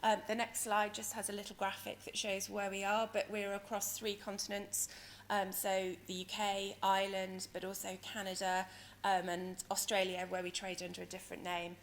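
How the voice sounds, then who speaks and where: A woman presents at a conference in a croaky, hoarse voice of medium pitch. She explains in a hesitant, roundabout way, like a company director addressing delegates. There is audible ambient noise from the venue behind her. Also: monotone, moderate pace. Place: conference venue in London, speaking to an audience. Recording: noisy conference hall ambience.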